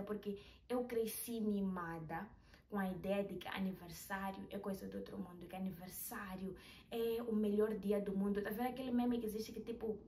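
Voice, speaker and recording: A woman tells a personal story in a chatty, animated way, filmed in a small bedroom with the camera some distance away. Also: medium pitch. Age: 20s. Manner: chatty, animated, with hand gestures. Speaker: vlogger. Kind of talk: casual storytelling vlog. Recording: roomy, a little distant, faint hiss.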